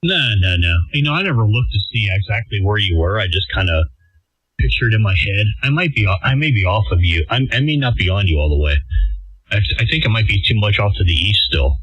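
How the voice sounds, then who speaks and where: Guy rambling on ham radio, lowish voice, dull narrow sound, dry, kinda self-deprecating. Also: sing-song, fairly quick, fairly bright tone, dry recording. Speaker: amateur radio operator. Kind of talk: casual ham radio chat. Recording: muffled, band-limited ham radio transmission.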